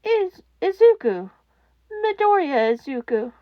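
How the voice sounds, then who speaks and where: A fragile young boy, as a woman acts him out for an audiobook, timid and despairing. The voice is high and soft, cracking as it stammers, with very lively swings of pitch in a close, dry recording. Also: dry recording, dull audio, heavily acted. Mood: timid, tearful. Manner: halting, stammering. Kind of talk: audiobook character acting.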